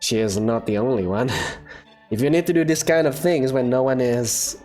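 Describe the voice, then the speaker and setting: A woman puts on the voice of a timid young man assisting in a risky lab. He stutters and frets, pitched down into a low register. Faint music sits under the voice. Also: normal speed, a little mellow. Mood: flustered. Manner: jittery, stammering, anxious. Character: nervous young male student assistant. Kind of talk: fan game voice acting.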